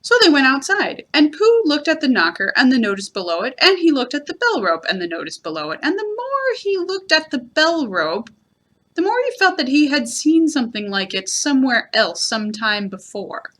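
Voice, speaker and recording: A woman storyteller reads aloud from a children's book in a fairly high voice. Her delivery is gentle and expressive, with very varied intonation. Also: normal speed. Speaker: library storyteller.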